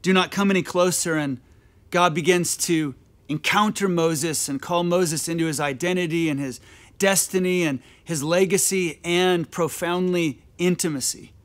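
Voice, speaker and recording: A man in his thirties preaching a sermon on a dark stage, earnest, often repeating phrases as he builds his point. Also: high voice, steady tone, moderate pace. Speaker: pastor.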